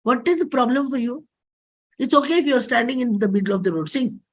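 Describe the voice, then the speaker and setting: A woman's voice: fairly high pitch, animated, a little fast, husky, voice pushed up. A woman with an Indian accent playing a pushy, casual acquaintance who coaxes and insists. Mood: pushy coaxing. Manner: insistent, coaxing.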